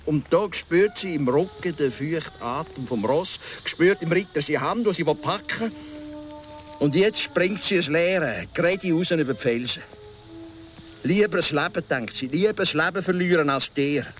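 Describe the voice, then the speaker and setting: An actor playing a philosophising local guide and storyteller in a Swiss German radio drama, speaking in a deliberate, dramatic way. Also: male voice, on the high side, animated, soft background music, lightly acted. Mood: grave, dramatic. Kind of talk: radio drama, telling a folk legend.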